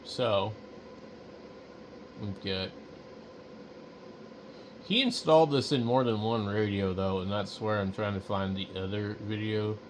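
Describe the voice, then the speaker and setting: A man, a radio hobbyist, commenting casually and haltingly as he thinks aloud. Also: on the low side, a little hiss or hum, low-quality recording. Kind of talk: casual off-the-cuff reaction to a tutorial video.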